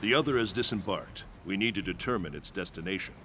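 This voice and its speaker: A stern, noble god of thunder in a video game. He speaks low, with solemn formality and command, over clearly audible noise. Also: fast talker, a bit bright. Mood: grave, measured. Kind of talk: video game cutscene acting.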